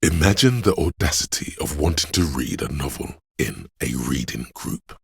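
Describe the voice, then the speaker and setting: The inner voice of a pretentious, art-loving detective for a video game. The voice is extremely deep and gravelly, recorded close and dry. He narrates with theatrical deliberation, grandiose and wry, like a haughty man of letters. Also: big pitch swings, no echo, exaggerated voice. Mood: haughty urging. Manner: pompous, literary, deliberate. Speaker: game narrator voicing a character's thoughts.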